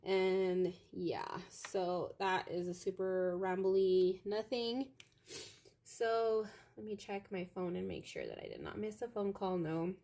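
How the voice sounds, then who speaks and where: Young woman crafter rambling to her viewers, loud voice, lots of ums and likes, chatting from her home office. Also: mid-range voice, lively intonation, takes it slowly, vocal fry. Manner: chatty, meandering, lots of fillers. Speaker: craft vlogger. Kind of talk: rambling casual chat to viewers.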